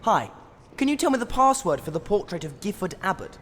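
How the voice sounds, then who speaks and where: An actor playing an earnest, polite teenage schoolboy hero in a video game, with a plain English accent. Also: male voice, sits high, big pitch swings, a little fast, background noise. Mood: curious. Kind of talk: video game cutscene acting. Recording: hall reverb, game music behind.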